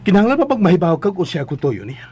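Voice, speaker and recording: A man's voice: high voice, very animated, rapid-fire, noisy background. A man with a Cebuano accent playing a cold, domineering rich debt collector in a radio drama, curt and menacing. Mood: impatient. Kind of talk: Philippine radio drama acting.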